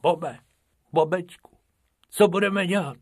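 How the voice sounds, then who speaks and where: A man voicing a small, excitable male rabbit who whines in a high, quick voice. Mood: whiny.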